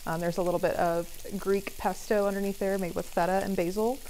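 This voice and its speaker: A woman's voice: medium pitch, steady tone, a little fast, mellow, rough recording. Young woman demonstrating food over sizzle. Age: young adult. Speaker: restaurant assistant manager demonstrating a dish. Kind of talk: casual live cooking demonstration. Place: TV studio kitchen, indoors. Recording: clear sizzling pan noise. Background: frying and sizzling.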